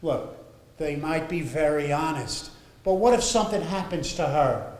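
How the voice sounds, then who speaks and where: Man acting a skeptical, animated, argumentative husband. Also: a little high, a little slow. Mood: warning. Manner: argumentative, pointed. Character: skeptical, exasperated older working husband guarding his money. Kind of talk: stage play acting. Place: indoor theatre stage. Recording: echoey theatre stage.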